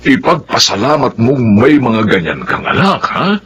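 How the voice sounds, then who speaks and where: An actor playing a jovial, teasing middle-aged friend in a drama, with a boisterous manner. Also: male voice, mid-range voice, big pitch swings, normal speed, a bit bright, husky, full character voice. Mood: wistful. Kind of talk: Filipino drama acting.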